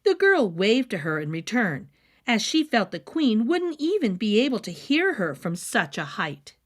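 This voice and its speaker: Animated, high-voiced woman reading a storybook. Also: unhurried. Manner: animated, with playful asides. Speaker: storybook read-aloud host. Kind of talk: expressive children's story narration.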